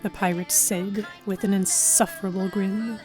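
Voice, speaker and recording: A woman's voice: mid-range voice, steady tone, moderate pace, dark tone, faint music behind. A woman reading scripted first-person narration for an audio drama.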